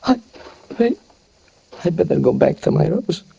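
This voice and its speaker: A man's voice: on the high side, sing-song, creaky, dark tone, muffled recording, a little hiss or hum, heavily acted. An actor playing a shy, humble older male tailor who speaks hesitantly, in a 1940s radio drama. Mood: timid worried. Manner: hesitant, halting, apologetic. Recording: old radio broadcast recording.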